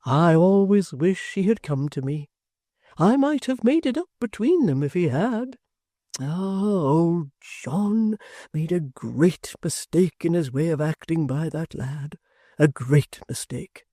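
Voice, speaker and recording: A reader voicing a gentle, kindly middle-aged locksmith in a storybook reading, speaking earnestly. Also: male voice, fairly high pitch, lively intonation, slow, no echo, studio quality, voice pushed up.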